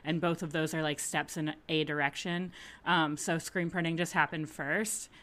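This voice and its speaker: A woman with a slightly low, bright, forceful voice talks casually on a podcast. She is blunt and peppers her speech with slang and swearing. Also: fairly even intonation, moderate pace. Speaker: illustrator and small brand owner on a podcast. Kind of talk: casual chat.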